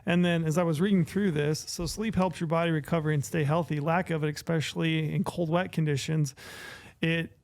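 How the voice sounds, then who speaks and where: A man's voice: on the high side, steady tone, brisk, a little mellow. A man co-hosting a casual podcast, talking loosely and correcting himself as he goes. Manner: rambling, self-correcting, laughs often. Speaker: podcast co-host.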